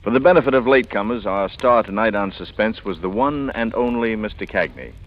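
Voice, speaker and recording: A man's voice: on the low side, brisk, a little hiss or hum. A man hosting a 1940s radio show in a courtly, formal, eloquent manner. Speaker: radio host. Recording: muffled 1940s radio recording.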